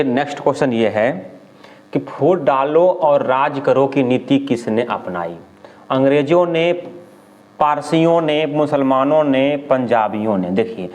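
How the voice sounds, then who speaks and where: A man with a Bihari accent teaching an exam lesson, reading out questions and answers didactically and repeating himself with quick check questions. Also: mid-range voice, a little mellow. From India. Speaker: history teacher.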